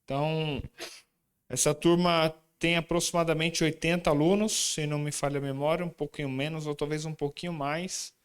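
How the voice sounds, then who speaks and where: A man's voice: a little high, level tone, normal speed, clean tone. A man preaching in a church, introducing his student group and then moving into the sermon with chatty, informal asides to the congregation. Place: church sanctuary.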